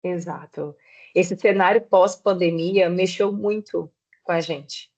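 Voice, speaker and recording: A woman's voice: lowish voice, takes it slowly, a little mellow. Woman in her thirties, muffled lo-fi sound. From Espírito Santo, Brazil. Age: 30s. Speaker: guest, personal trainer. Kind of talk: podcast interview.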